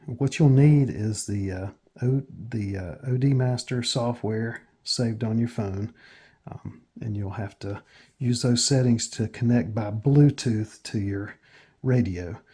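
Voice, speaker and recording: An American man hosting a casual step-by-step tutorial in a plain, matter-of-fact way with hesitant pauses. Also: mid-range voice, mellow, slightly rough. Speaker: tutorial host.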